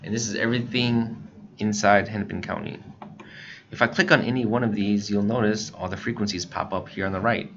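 An American man giving a casual, informal software tutorial, explaining things step by step as an instructor. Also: fairly low pitch, fairly even intonation, fairly quick. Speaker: instructor, scanner shop staff. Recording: muffled lo-fi voice-over, faint background noise.

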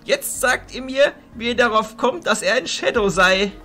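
A man playing an elderly, bearded archchancellor in a fantasy audio drama, stern and protective, with deliberate, emphatic phrasing. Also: very high voice, bright, forceful tone. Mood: stern, reproving.

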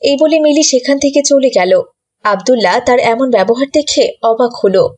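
A woman's voice: sits high, animated, a little fast, darkish tone. A woman narrating a scripted children's story.

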